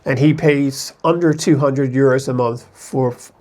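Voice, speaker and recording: A man's voice: mid-range voice, steady tone, unhurried, darkish tone. A man from the United States talking casually to camera as an expat vlogger, in a rambling, conversational way that often corrects itself.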